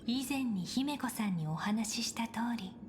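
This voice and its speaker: A woman voicing a poised, formal young negotiator in a game, speaking in very polite, measured phrases. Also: on the high side, lively intonation, a little mellow, close to an everyday voice. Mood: courteous. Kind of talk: Japanese game voice acting. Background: game music.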